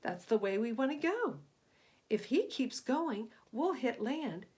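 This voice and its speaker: A woman voicing a steady, commanding male ship's captain in a children's story, reasoning aloud with emphasis. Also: fairly high pitch, measured pace. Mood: calming, reasoning.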